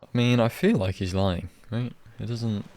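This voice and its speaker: A man's voice: lowish voice, fairly even intonation, moderate pace, a little mellow. A man giving casual live commentary on a game, musing aloud informally as he plays. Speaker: game streamer.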